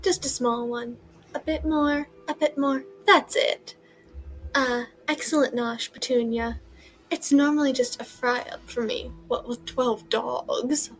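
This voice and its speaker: A woman's voice: sits high, steady tone, slow, soft background music, low-quality recording. An actress playing an overbearing, haughty middle-aged aunt in an audio drama, blustering as she scolds and dotes. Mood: indulgent. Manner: blustering, scolding, cooing at her pets.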